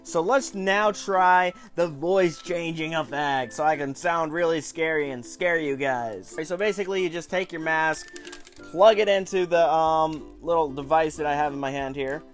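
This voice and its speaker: A man in his twenties with a noticeably high voice presents a toy review to camera. He talks casually and enthusiastically, like a chatty online show host, with soft music underneath. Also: fairly even intonation, moderate pace. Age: 20s. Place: small room at home.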